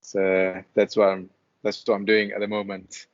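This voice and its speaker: A young man from Malaysia, a freelance web developer, talking casually on a video call as an interview guest, relaxed and hesitant, with many restarts. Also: on the low side, normal speed. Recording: dull lo-fi call audio.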